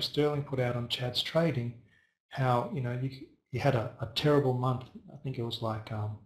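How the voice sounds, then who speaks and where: Male host, loose and chatty, talks to viewers by name; gravelly voice, roomy echo. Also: medium pitch. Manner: chatty, rambling, addresses viewers by first name. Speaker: trading webinar host. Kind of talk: casual live webinar talk answering viewer chat.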